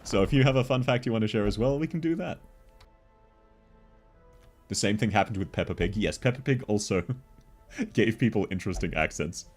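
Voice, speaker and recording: Male game streamer, Australian accent, low and animated, laughs a lot while reading chat, soft music in the background. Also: fairly quick, long pauses. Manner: chatty, laughs often, answers chat while playing. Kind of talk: casual livestream chat with viewers.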